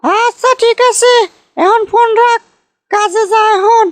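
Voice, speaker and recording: A woman's voice: very high voice, steady tone, a little slow, no room sound, soft background music. A woman voicing a callous, irritable cartoon village mother with a curt, scolding Bogura dialect from northern Bangladesh. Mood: dismissive. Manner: scolding, curt rural delivery. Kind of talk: amateur comedy cartoon dubbing.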